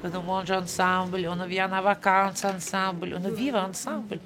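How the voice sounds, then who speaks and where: A woman's voice: medium pitch, steady tone, measured pace. A woman living in a shelter, speaking earnestly and repeating herself in a documentary interview. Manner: earnest, repetitive phrasing. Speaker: shelter resident. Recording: crowd chatter behind.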